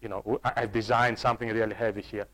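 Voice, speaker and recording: A man's voice: lowish voice, flat delivery, quick pace, a bit bright, low-quality recording. A man presenting on online course design in a live workshop. He often restarts and repeats words as he explains, and he checks in with the audience through questions. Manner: addresses the audience directly with questions. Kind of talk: live workshop talk to staff.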